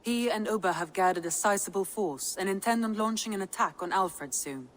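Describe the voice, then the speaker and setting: An actress playing a serious, assured Viking war leader in a video game, with a formal, matter-of-fact manner. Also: female voice, mid-range voice, a little fast, natural voice, poor audio. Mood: serious, confident. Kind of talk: video game dialogue acting.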